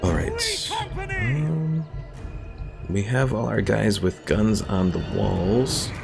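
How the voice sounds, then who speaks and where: A man with a very deep, gravelly voice talks through his strategy game moves, thinking aloud and often unsure. His pitch swings widely as he goes. Game music plays clearly behind him. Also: sing-song, extremely slow. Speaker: streamer. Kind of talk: casual gameplay commentary.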